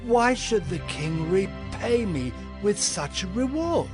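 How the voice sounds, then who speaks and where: An actor playing a very aged, humble and weary man, speaking haltingly and deferentially in a scripture audio drama. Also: high-pitched, expressive, music in the background. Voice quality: creaky, aged voice.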